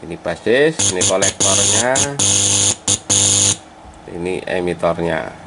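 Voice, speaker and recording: A man gives an informal hands-on tutorial step by step, addressing viewers as friends and halting as he works. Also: deep voice. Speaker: electronics repair hobbyist presenting a tutorial. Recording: clearly noisy amateur recording.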